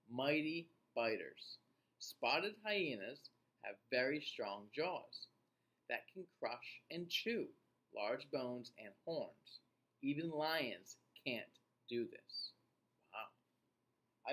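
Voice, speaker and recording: Male teacher, middle-aged, children's read-aloud. Very unhurried delivery, animated pitch swings, questions to the listeners. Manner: deliberate, expressive, pausing to question listeners. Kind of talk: picture-book read-aloud for young children.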